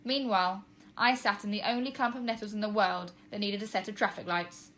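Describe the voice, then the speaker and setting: A woman narrating a children's storybook as a teacher reading aloud, with expressive, emphatic storytelling. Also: medium pitch, fairly quick.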